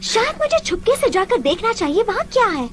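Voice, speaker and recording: A woman voicing an indignant, bossy young creature in an animated film dub, questioning expressively. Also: very high-pitched, brisk, slight background noise. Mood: indignant, suspicious.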